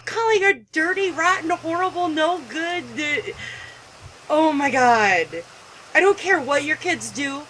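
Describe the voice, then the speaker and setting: A woman's voice: sits high, unhurried, bright, forceful tone, slight background noise. A middle-aged woman hosting a gaming video, chatting casually and reading game text aloud with emotional asides.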